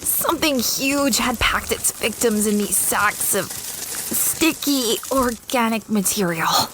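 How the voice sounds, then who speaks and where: Actress as anxious young space heroine for audio drama, higher voice, conversational and hesitant, noisy ambient backdrop. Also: female voice, slow. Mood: recounting. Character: young female space adventurer recounting her expedition, anxious, evasive. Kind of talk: audio drama acting and narration.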